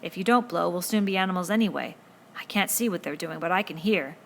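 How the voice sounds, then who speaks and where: A fretful, plump schoolboy with glasses, as a woman reader puts him on, pleading in a thin, whining tone. His worried talk keeps climbing toward a squeak. Quiet room noise sits faintly behind him. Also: fast talker, creaky. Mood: anxious. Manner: plaintive, whining, rises to a squeak. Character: fearful fat schoolboy with glasses, anxious.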